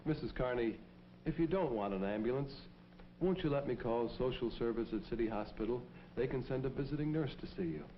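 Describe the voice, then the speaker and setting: An actor playing a kindly, earnest neighborhood policeman in a 1940s American public-service film, speaking in a folksy, gently persuasive way. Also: mid-range voice, animated, a little fast, a little hiss or hum, close to an everyday voice. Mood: gentle concern. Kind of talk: 1940s propaganda film acting and narration. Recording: dull vintage film soundtrack, music behind. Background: music.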